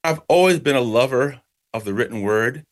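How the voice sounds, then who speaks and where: A middle-aged man from Jamaica, raised in New York state, answering interview questions about his book in a reflective, measured way. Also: mid-range voice, lively intonation. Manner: reflective, measured, slows for emphasis. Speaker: author.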